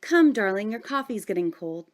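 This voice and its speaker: A woman's voice: medium pitch, big pitch swings, moderate pace, natural voice. A woman playing a besotted young wife in a horror comic drama, speaking in a theatrical, melodramatic way. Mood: sweet, doting. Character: besotted young wife, cold at first, then gushing with adoration.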